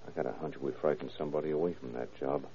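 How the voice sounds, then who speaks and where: A very deep-voiced male detective, shrewd and commanding. He speaks in dry, clipped, sarcastic lines. The sound is a narrow, muffled vintage radio recording. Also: fairly even intonation, racing, warm tone, slight background noise. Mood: hushed, intent. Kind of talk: old-time radio detective acting.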